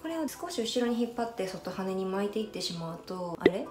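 A young woman hairstylist walks through a hair tutorial in a polite, explanatory tone, often talking while she works the iron. Her voice rings in a roomy indoor space, and music plays clearly behind her. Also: mid-range voice, very animated, fairly quick, darkish tone. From Niigata, Japan. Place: small bright room indoors.

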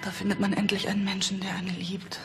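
An actress playing a bitter, wounded wealthy wife in a radio play, with a creaky voice. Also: female voice, medium pitch, completely flat delivery, fast, over music, close to an everyday voice. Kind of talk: German radio play acting.

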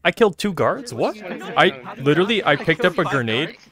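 A young man in casual gaming chat. His mid-pitched voice swings widely, and he talks in an excitable, profane way. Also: moderate pace. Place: virtual reality game voice chat.

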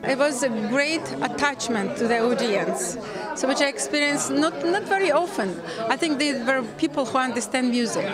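A woman in her fifties speaking haltingly, with non-native phrasing, in a casual interview. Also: high voice, lively intonation. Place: busy reception room. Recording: loud music and crowd noise behind.

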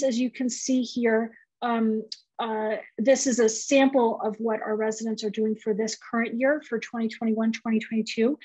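A woman's voice: on the high side, darkish tone, no room sound, rough recording. A middle-aged woman presenting a residency program on a video call, explaining with many fillers. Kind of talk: presenting slides in a virtual open house.

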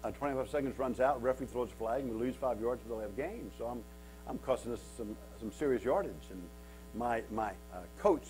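A man's voice: medium pitch, a little fast, a little mellow. A man in his fifties, from Kansas, speaks to a men's retreat in a wood-paneled church hall. He stutters openly, repeating words and filling gaps with "uh" while joking about it. A light mains hum and faint music sit under his lapel mic. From Kansas, USA. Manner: stutters, with many repeats and fillers, self-mocking humor. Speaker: guest speaker. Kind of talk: storytelling talk to a men's retreat.

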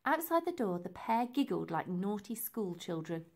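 A woman's voice: medium pitch, very animated, moderate pace. A woman reading a children's story aloud as narrator, with dramatic, emphatic phrasing. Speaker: storybook reader.

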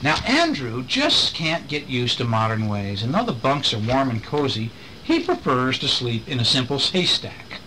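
Animated bright-voiced man reading a bedtime story. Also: medium pitch, normal speed, rough recording. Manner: expressive, explains pictures and asks the child questions. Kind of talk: reading a picture storybook aloud with chatty asides to a child. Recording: home recording with faint background noise.

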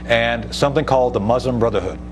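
A man's voice: mid-range voice, fast talker, over music. An American man in his fifties giving emphatic, explanatory commentary as a guest in a live TV news interview. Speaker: guest commentator, retired army officer. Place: TV news studio. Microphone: lapel mic.

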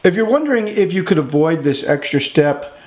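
A man in his thirties explains a woodworking build step by step in a steady, calm manner. His voice sits slightly high. The sound carries the echo of a hard-walled workshop, heard from a camera some distance away. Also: a little fast. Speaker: woodworking channel host. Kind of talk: instructional workshop narration. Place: woodworking shop, indoors. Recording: echoey workshop room.

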